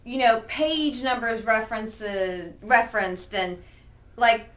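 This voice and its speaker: A woman's voice: on the high side, takes it slowly. A woman hosting a conversational business tips discussion, prompting with questions and recapping the points. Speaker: host.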